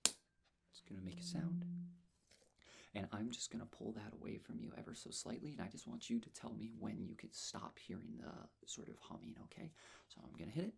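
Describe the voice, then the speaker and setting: Man, low-pitched, husky and breathy, soft-spoken ASMR roleplay, unhurried, reassuring nurse manner. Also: lively intonation. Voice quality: breathy, husky, often whispered. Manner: gentle, reassuring, unhurried personal attention. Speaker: ASMR performer playing a nurse.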